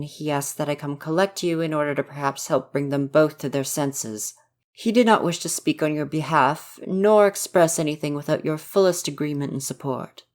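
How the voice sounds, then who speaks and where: A man's voice: fairly high pitch, lightly acted. A man plays an older palace butler in an audio roleplay. He is discreet and caring, choosing words politely and formally, with some hesitation. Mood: formal, encouraging. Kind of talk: ASMR audio roleplay acting.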